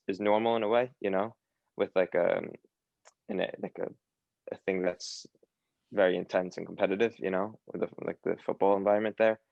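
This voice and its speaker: Low gravelly young man with Long Island accent. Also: darkish tone, dry recording, muffled recording. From New York, USA. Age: young adult. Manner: hesitant, many fillers and restarts. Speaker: guest, academy footballer. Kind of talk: casual interview chat.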